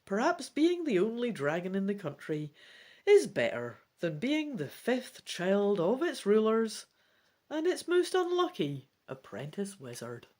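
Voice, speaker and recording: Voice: medium pitch, huge pitch swings, measured pace, darkish tone. A reader voicing an earnest young apprentice wizard turned dragon, in a bold, dramatic storybook reading. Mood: resigned, hopeful.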